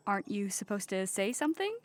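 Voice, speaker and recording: A woman playing an earnest, literal-minded starship officer in a comedic sci-fi play, dutiful but quick to get defensive. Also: mid-range voice, big pitch swings, lightly acted.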